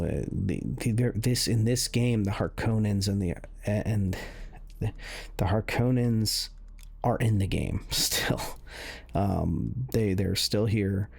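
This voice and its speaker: Low, gravelly man talking through a game. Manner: halting, rambling, hedging delivery. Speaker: gaming video host. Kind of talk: casual game walkthrough commentary.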